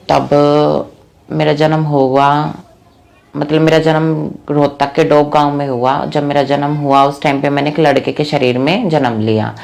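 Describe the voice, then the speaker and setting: A woman's voice: very deep voice, vocal fry, darkish tone. A young adult woman from Haryana, telling her life story in a plain, earnest way with many fillers. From Rohtak, Haryana, India. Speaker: interviewee. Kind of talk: personal life story told to camera.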